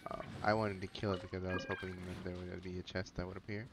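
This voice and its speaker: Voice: low-pitched, flat delivery, fast talker, heavy background noise. A video game streamer giving casual, slangy, playful reactions while playing. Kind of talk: casual gameplay commentary. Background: game music.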